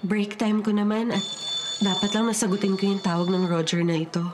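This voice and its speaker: A woman's voice: mid-range voice, level tone, fairly quick, background noise, lightly acted. An actress with a Filipino accent playing a wronged, sly ghost woman in a radio drama. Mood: secretive, determined. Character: wronged dead woman haunting her impostor, sly, insistent.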